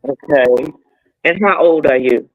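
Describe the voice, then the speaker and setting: A woman's voice: low voice, unhurried, narrow, tinny audio. A woman, co-hosting a casual live-stream chat and asking simple questions while checking the chat. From North Carolina. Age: 50s. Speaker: guest co-host.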